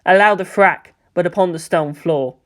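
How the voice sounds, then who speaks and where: A man's voice: sits high, normal speed. A man reading scripted third-person fiction as the narrator of a story.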